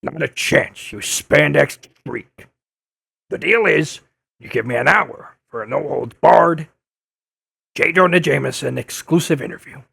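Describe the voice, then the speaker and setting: Guy doing a grumpy older newspaper man, audio drama, super gravelly, kinda high for a man, pushy and insulting. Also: bright, forceful tone, no room sound, studio quality, heavily acted. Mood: combative, boastful. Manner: blustering, insulting, insistent. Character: gruff, combative older male newspaperman turned blogger. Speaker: investigative reporter interviewing a superhero. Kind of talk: audio drama dub acting.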